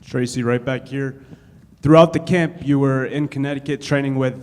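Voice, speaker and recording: A man's voice: medium pitch, fairly even intonation, unhurried, a little mellow, big-room sound. A man reporting at a press event, asking a fighter friendly, conversational questions.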